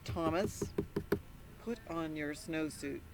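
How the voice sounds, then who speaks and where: A woman's voice: deep voice, slow, heavy background noise. A woman voicing a stern, commanding and easily flustered male school principal from a children's storybook. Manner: commanding, blustering.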